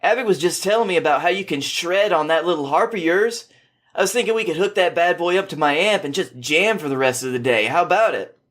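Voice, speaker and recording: A man's voice: a little high, expressive, brisk, a bit bright, voice pushed up. A man voicing a laid-back young male band musician in a story, casual and slangy. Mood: inviting.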